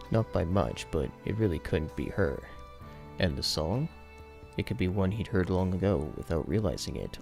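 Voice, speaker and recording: A man's voice: sits low, creaky, darkish tone. A man giving a serious, dramatic reading of fiction as an audiobook narrator.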